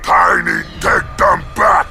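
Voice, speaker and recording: A man voicing a huge dim-witted brute in a video game, gruff and blunt, with broken childlike grammar. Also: deep voice, huge pitch swings, takes it slowly, over loud music, exaggerated voice. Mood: aggressive bellowing. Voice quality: gruff, gravelly. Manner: blunt, bellowing, childlike third-person grammar. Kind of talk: video game henchman acting.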